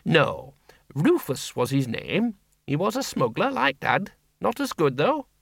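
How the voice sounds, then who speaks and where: A man voicing a sharp-tongued older spinster who runs a farm, talking bluntly in country speech. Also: on the high side, sing-song, gravelly, slightly rough, no echo, clean studio sound, pitched above the performer's own voice, heavily acted. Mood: wistful. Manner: brisk, blunt country talk. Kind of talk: audiobook character voice.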